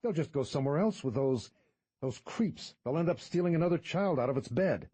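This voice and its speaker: A man's voice: fairly high pitch, lively intonation, a little fast, mellow, breathy edge, voice pushed up. Man voicing an urgent young woman. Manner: urgent, questioning. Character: alarmed young woman companion.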